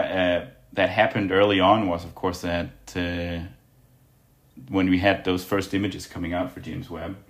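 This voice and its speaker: Casting: low-voiced scientist guest from Denmark, halting answers, roomy echo. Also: male voice. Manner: halting, restarts with fillers. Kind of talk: interview answers.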